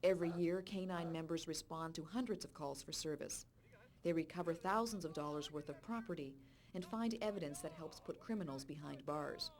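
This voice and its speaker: A woman reading a scripted voice-over narration for a police recruiting video in a clear, measured way. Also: sits low. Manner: clear, measured informational delivery.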